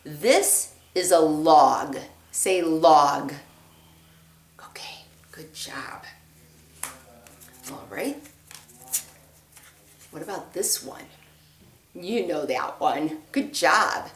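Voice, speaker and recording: A woman teaching young children a rhyming lesson in a slow, sing-song voice with lots of praise. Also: deep voice, roomy sound.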